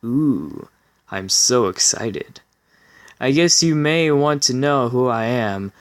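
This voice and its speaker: A man's voice: mid-range voice, expressive, takes it slowly. A man reading a story aloud as a channel host, in an amused dramatic style with giggly asides of commentary.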